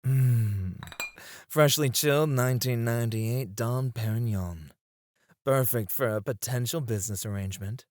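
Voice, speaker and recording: A man playing a suave, flirtatious male escort in an ASMR roleplay, teasing and courteous, with drawn-out phrasing. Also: medium pitch, darkish tone, dry recording, lightly acted. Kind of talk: ASMR roleplay, addressed to one listener.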